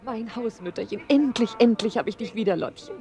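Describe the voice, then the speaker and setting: A woman's voice: fairly high pitch, brisk, dark tone, close to an everyday voice. A young woman playing an affectionate mother in a children's radio play, tender and coaxing. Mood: affectionate, encouraging. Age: young adult.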